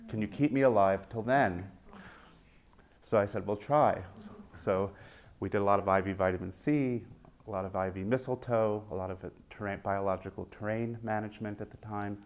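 A middle-aged man, a physician on a panel, telling patient stories in a measured way and livening up when he quotes patients, recorded on a lapel mic in a conference room. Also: lowish voice. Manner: measured, anecdotal, lively when quoting patients. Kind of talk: storytelling in a panel interview. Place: conference room with a long table.

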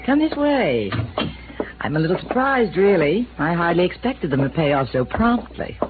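An actress playing a sharp-tongued, defensive young widow in a 1950s radio drama, talking in a sarcastic, brassy way. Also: female voice, fairly low pitch, sing-song. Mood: coy. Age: young adult. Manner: sarcastic, brassy, quick to take offense. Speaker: widow of a police chief. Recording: tinny old radio broadcast with hiss.

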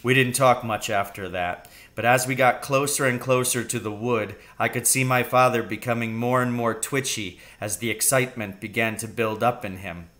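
A man's voice: mid-range voice, normal speed, hardly any pauses, a bit bright. Middle-aged man reading a storybook aloud. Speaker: reader. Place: room at home, indoors.

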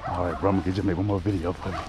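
A middle-aged man with a Southern US accent, talking casually and excitedly to his fishing vlog viewers, often repeating himself. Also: low voice, very fast. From Arkansas and Louisiana, United States. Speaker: fishing channel host. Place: outdoors by a neighborhood pond. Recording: noisy outdoor recording.

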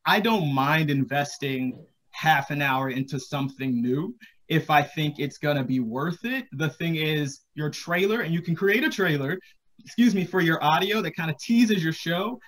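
A man's voice: a little high, normal speed, fairly bright tone. A man in his thirties giving casual advice as a podcast creator on a panel, over a video call from a room at home. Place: small home room, indoors.

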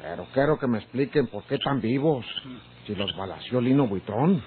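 Actor as suspicious boss, noisy narrow-band radio. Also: male voice, medium pitch, expressive, moderate pace, lightly acted. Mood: commanding. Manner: gruff, challenging, accusatory. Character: suspicious, domineering rural man hiring hitmen. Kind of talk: Mexican rural radio drama acting.

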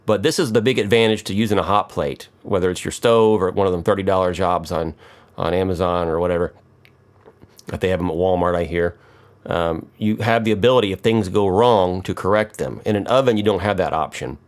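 A man's voice: low-pitched, fairly quick. A middle-aged American man giving casual, off-the-cuff how-to commentary in a wry, joking, self-mocking way. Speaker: electronics hobbyist, video host.

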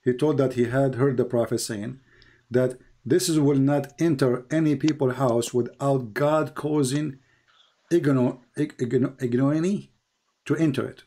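A man's voice: steady tone, unhurried, dark tone. A man whose first language is Arabic, hosting and debating a caller, emphatic and repeating phrases for stress. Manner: emphatic, rises high when pressing questions. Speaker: host.

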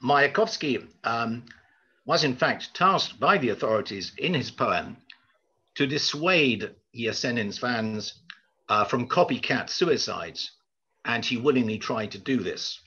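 An older man lectures in a formal, measured way, with hesitant pauses. His voice is husky but bright and forceful. It comes over a slightly dull video call. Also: medium pitch, expressive. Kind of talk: prepared lecture.

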